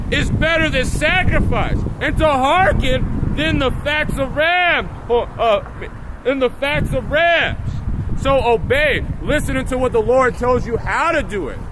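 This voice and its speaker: Male outdoor preacher, very high pitch, slightly hoarse with a coming cold, emphatic drawn-out scripture delivery, windy noisy livestream sound. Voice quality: slightly raspy. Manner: emphatic, drawn-out declaiming when reading verses. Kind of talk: loud outdoor sermon with scripture reading. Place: outdoors in strong wind.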